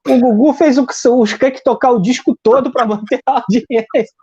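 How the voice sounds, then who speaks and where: A man's voice: extremely high pitch, steady tone, moderate pace, warm tone, slightly rough. Heated Brazilian man on a dull stream line. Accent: Brazilian Portuguese. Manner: heated, rambling, repeats words when searching. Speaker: commentator on a live stream. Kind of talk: casual political commentary.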